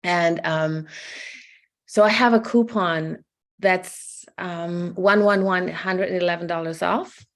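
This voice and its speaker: A woman guest who offers spiritual sessions, talking gently and hesitantly with many pauses and ums. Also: on the low side, steady tone. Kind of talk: casual conversation.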